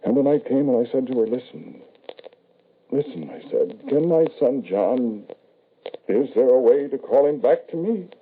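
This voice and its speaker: An actor playing an embittered, lonely, grieving father in an old radio drama, speaking formally and deliberately with dramatic pauses. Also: mid-range voice, lively intonation, breathy edge, narrow, tinny audio. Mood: anguished, pleading. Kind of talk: 1940s radio drama acting, first-person narration. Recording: band-limited vintage radio recording.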